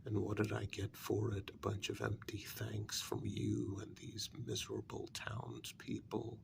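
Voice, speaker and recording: Male actor as accusatory kidnapper, soft-spoken ASMR role-play, lowish gravelly voice, very slow halting delivery, bitter and sarcastic. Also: monotone. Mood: resentful.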